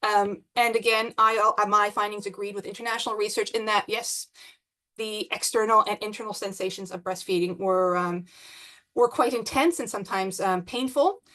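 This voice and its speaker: A woman with a New Zealand accent giving an online academic presentation on a video call, with many pauses and restarts. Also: mid-range voice, steady tone, bright, forceful tone. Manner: hesitant, many ums and restarts. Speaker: conference presenter.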